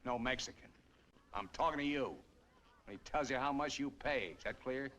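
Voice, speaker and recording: An actor playing a menacing young American killer who talks in curt, threatening commands, in 1950s film noir style. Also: male voice, medium pitch, normal speed, a bit bright, natural voice. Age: 20s. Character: menacing, taunting young male killer holding captives at gunpoint. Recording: noisy old film soundtrack.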